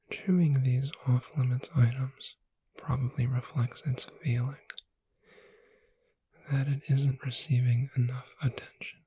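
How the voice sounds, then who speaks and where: A woman whispering in a soothing, deliberate ASMR style, reading aloud to lull a listener to sleep. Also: extremely low pitch, dark tone, slightly rough, dry recording, low-quality recording. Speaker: ASMR performer.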